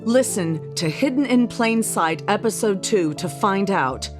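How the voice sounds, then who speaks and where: A woman's voice: mid-range voice, expressive, unhurried. Woman narrator over prominent music. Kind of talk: scripted radio drama narration.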